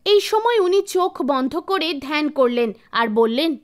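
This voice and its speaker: A woman narrating a scripted animated folktale as a storyteller. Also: sits high, measured pace. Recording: background music behind voice. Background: soft music.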